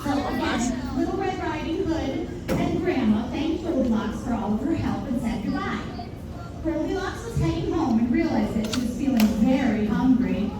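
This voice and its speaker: A woman narrates a fairy tale for a children's puppet show. Her voice is high and lively in pitch. The recording is very echoey, picked up from a distance. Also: moderate pace. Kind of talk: live storybook narration for children. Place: indoor live puppet performance. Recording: very echoey, noisy room, distant mic.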